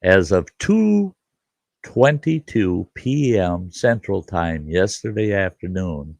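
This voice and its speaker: An older man hosting a casual live stream, hoarse today, talking in a halting, rambling way with many fillers. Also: sits low, lively intonation, darkish tone, no echo. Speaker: livestream host.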